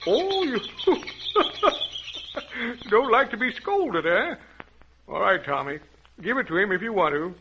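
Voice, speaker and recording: An actor playing a jovial old adventurer who tells children tales in a folksy, rambling old-time radio style. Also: male voice, high voice, very animated, slow pace, pauses often, pushed and bright, a little hiss or hum, full character voice. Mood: jovial, indulgent. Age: older. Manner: folksy, chuckling, rambling storyteller. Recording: dull lo-fi vintage radio recording.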